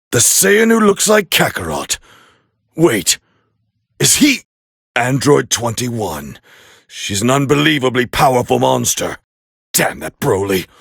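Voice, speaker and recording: A man playing a proud, hot-tempered alien warrior prince with a raspy voice, calling fights with scorn in an anime video game dub. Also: mid-range voice, no echo, clean studio sound, exaggerated voice. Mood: scornful, appraising, then irritated, defiant. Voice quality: gravelly, raspy. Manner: haughty, scornful, biting, forceful. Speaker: fight commentator character.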